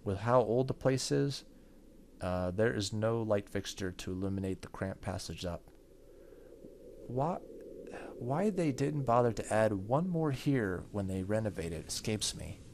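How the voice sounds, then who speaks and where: A man's voice: on the low side, expressive, unhurried, a little mellow, a little hiss or hum. A man hosting a gaming video, reading the story aloud and dropping in casual reactions.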